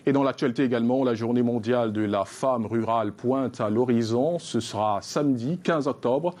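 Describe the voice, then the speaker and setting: A man presenting an evening television news bulletin, reading headlines in a measured newsreader delivery. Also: mid-range voice, darkish tone. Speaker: news anchor.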